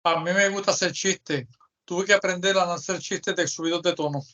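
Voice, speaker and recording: A man's voice: sits high, steady tone, fairly quick, bright, forceful tone. A man in a group Bible study, speaking in a playful, confessional way. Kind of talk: casual confession. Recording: muffled call audio.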